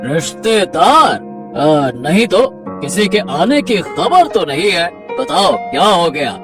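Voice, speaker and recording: A man's voice: sits high, big pitch swings, slow, over music, exaggerated voice. A man voicing a concerned, commanding king in a Hindi cartoon folktale, with an authoritative, questioning manner. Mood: puzzled. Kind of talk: Hindi cartoon moral story acting.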